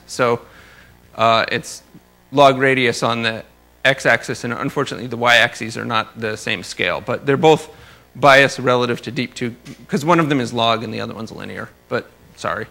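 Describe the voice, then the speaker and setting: A man's voice: medium pitch, moderate pace, creaky, sharp tone. A man giving an informal research lecture, explaining technical material with careful hedges and wry, dry asides. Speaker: astronomer giving a research talk.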